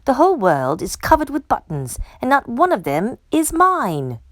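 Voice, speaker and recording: A woman's voice: on the high side, huge pitch swings, normal speed, fairly bright tone, no room sound, clean studio sound, pitched above the performer's own voice. Woman reading as a fussy, emphatic storybook toad. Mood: angry. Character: fussy, self-conscious, gloomy male toad.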